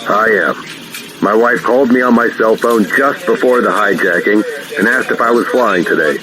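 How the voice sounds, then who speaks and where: An actor playing a calm, modest veteran airline captain talking over the radio in an audio drama, plain-spoken and measured. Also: male voice, on the low side, a crowd in the background, lightly acted. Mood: shaken but composed. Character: calm veteran airline captain who retook his hijacked plane, modest. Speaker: airline pilot. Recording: noisy cockpit radio transmission.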